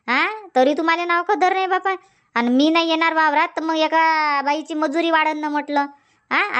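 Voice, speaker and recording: A woman's voice: sits high, fairly bright tone. A woman voicing a nagging middle-aged village farm wife in a comic cartoon, scolding. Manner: scolding, insistent, long rants. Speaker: cartoon voice actor.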